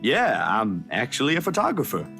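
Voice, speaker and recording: A woman acting as a mild, patient man, pitched very low and swinging up and down. The delivery is awkward and low-key, a visual novel character talking gently to kids. Music plays behind it. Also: wildly swooping pitch, normal speed, full character voice. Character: adult male photographer, mild and patient with kids.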